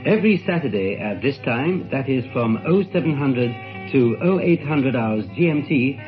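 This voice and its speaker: A man's voice: medium pitch, lively intonation, normal speed. Guy reading scripted radio intro, muffled lo-fi shortwave, bit of guitar music under it. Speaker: radio station announcer. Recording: dull lo-fi shortwave radio recording. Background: faint guitar music.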